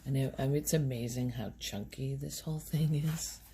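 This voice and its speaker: A soft-spoken woman recording casual ASMR, rambling in a hushed voice and musing aloud as she goes. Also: extremely low pitch, moderate pace, gravelly, background noise. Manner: hesitant, musing aloud, trailing off. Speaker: ASMR video creator.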